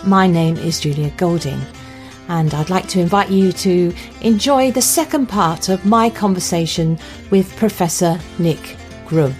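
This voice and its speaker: A woman hosting an interview podcast, speaking in a conversational presenting style. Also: on the low side. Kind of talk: conversational interview with scripted introduction.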